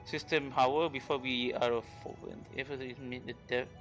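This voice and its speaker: A man who speaks English as a second language, giving casual, halting, slangy commentary as he livestreams a game. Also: mid-range voice, fairly even intonation, highs cut off. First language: not English. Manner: rambling, informal, slangy, halting. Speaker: livestreamer, VTuber. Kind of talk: casual live gaming commentary. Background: game music.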